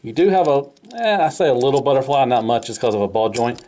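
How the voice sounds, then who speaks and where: A man's voice: mid-range voice, animated, quick pace, mellow. Casual man reviewing toys. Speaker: toy reviewer. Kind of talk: casual hands-on product review.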